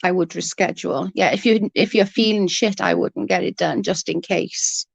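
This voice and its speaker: A woman talks casually to her livestream viewers and greets them one by one. Her voice is low and gravelly. It sounds stuffy and blocked up, with coughs, and it is starting to give out, heard close and dry. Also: fairly even intonation, moderate pace, no room sound. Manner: chatty, greets viewers by name. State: congested, coughing, voice going. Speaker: livestream host. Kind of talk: casual chat with live viewers.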